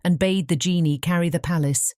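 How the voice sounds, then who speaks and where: A woman's voice: fairly low pitch, brisk, dark tone, slight background noise. A woman narrating a fairy-tale audiobook in a scripted storybook style.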